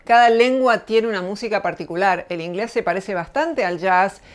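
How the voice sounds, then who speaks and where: A middle-aged woman with an Argentine accent explains her ideas in a measured, reflective way. Her voice is smooth and clear, set at an ordinary middle pitch. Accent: Argentine Spanish. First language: Spanish. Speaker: channel host, lecturer. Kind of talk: reflective spoken essay to camera.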